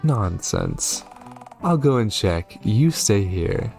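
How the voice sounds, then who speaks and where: A man's voice: lowish voice, huge pitch swings, slow pace, gravelly, darkish tone, faint music behind, heavily acted. A man voicing a protective witch mother in a horror game, firm and instructive with her son.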